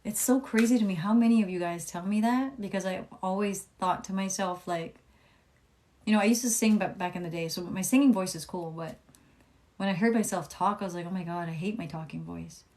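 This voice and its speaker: A young adult woman giving a casual live tarot reading, with a calm, soothing voice, chatting, swearing freely and reading viewer comments aloud. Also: mid-range voice, a little fast. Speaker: tarot reader live-streaming.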